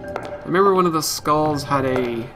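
A man's voice: a little high, normal speed. A man giving live let's play commentary, muttering short reactions to himself as he plays. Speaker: gaming streamer. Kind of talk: let's play live stream commentary, reading game text aloud. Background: game music.

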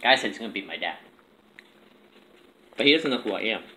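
A man's voice: fairly low pitch, expressive, fairly quick, sharp tone. A young man chatting casually and making prank calls, recorded at a table in a room. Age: young adult. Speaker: prank caller filming himself. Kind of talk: casual chat and prank calling. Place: small room indoors at a table. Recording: echoey room with background noise.

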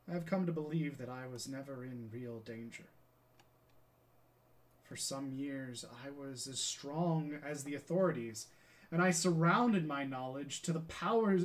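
A man performs an aging, defeated scientist in a classical stage monologue. He lectures his pupil in grand, declamatory phrases, bitter and self-condemning, with a somewhat high-set voice. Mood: fervent, bitter. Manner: theatrical, declamatory, impassioned oratory.

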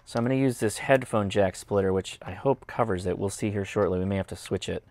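A man's voice: lowish voice, fast talker, darkish tone, no echo. An American man in his thirties giving a casual, explanatory product review and demonstration. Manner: informal, explanatory. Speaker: host, radio reviewer. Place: indoors, home radio studio and workbench.

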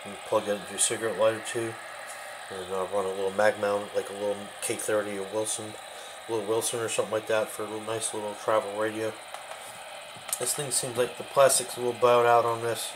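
A man with a lowish voice shows off gear in an unscripted, casual way. His phrases come haltingly and often trail away. It is recorded on a handheld camera in a home kitchen, with plenty of noise behind him. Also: little pitch movement. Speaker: host of a radio hobby video show. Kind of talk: casual show-and-tell product demonstration. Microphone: handheld camera, behind the lens.

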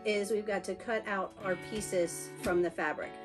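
A woman's voice: medium pitch, steady tone, normal speed, over music. Older woman explaining sewing steps. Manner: explains step by step with frequent ums. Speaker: sewing tutorial presenter. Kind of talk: casual how-to explanation. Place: indoors in a small boat cabin.

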